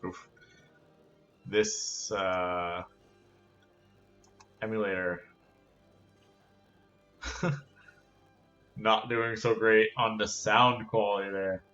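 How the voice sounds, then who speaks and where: Low bright-toned man playing a game. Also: steady tone, extremely slow, long pauses, lo-fi sound, faint music behind. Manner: playful, reads characters in silly voices and jokes. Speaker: let's play host. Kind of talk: gaming commentary reading game dialogue aloud.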